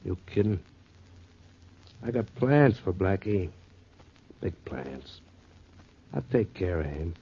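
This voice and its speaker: A man's voice: sits low, big pitch swings, takes it slowly, long pauses, mellow, a little hiss or hum, heavily acted. A male actor playing a genial but scheming racketeer boss, sly and needling, in a 1940s radio crime drama. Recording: muffled 1940s radio recording.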